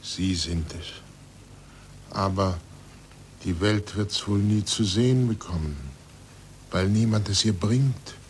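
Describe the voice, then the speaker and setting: A man acting a weary, reflective old blind scientist in a 1940s German radio drama, measured and declamatory, sinking to a near-whisper. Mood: guarded, weary. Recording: noisy 1940s radio recording with rumble.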